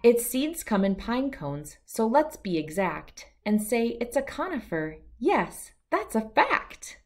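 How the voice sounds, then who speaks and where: A woman's voice: a little high, sing-song, slow. A middle-aged woman reading a rhyming children's picture book aloud in a sing-song way that follows the verse.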